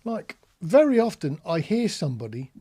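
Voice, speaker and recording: A man's voice: on the high side, sing-song, moderate pace, dark tone, breathy edge. A British man giving an informal, practical tutorial, explaining things plainly with anecdotes as an instructor. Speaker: marine radio instructor.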